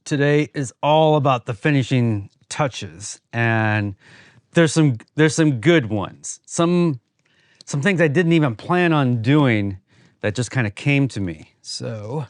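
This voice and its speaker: A man's voice: mid-range voice, expressive, slow pace, no echo, studio quality. A man hosting a woodworking vlog, explaining things in an informal, plain-spoken way. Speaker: host of a woodworking channel. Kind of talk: casual workshop vlog narration.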